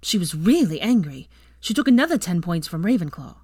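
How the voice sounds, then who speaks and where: Woman voicing a fiery, high-pitched outspoken teenage girl. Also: huge pitch swings, moderate pace, no echo, studio quality. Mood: angry, indignant. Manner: emphatic, blunt, swearing. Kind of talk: audiobook character voice.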